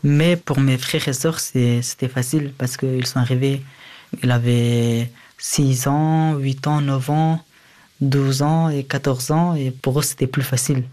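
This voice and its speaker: A young man, speaking a language he learned later, answers as an interviewee. He recounts his own experience in a medium-pitched voice. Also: steady tone, a little slow, dark tone. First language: a language other than French. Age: young adult. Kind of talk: personal testimony in an interview.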